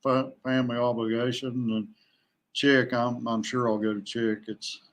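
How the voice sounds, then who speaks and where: Halting man chatting casually. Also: mid-range voice, little pitch movement. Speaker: guest, veteran kayak angler. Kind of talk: casual podcast chat.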